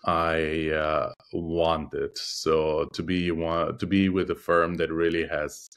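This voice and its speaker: A deep-voiced man whose first language is Russian answers interview questions. He speaks in a measured, thoughtful way and often pauses to find the right word. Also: level tone, fairly bright tone. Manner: measured, thoughtful, frequent hesitations. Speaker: interview guest, arbitration lawyer. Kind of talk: conversational interview.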